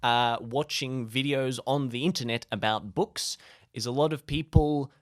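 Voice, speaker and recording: A man's voice: medium pitch, measured pace, no room sound, clean studio sound. A man giving casual advice to camera in a playful, self-deprecating way with comic asides. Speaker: YouTube creator sharing tips.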